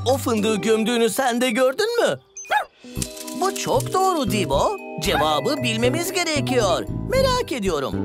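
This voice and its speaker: A woman's voice: a little high, huge pitch swings, moderate pace, loud music behind the voice. A woman voicing a cheerful, curious young male cartoon adventurer who exclaims and explains things simply in a children's dub. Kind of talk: children's cartoon dubbing in Turkish.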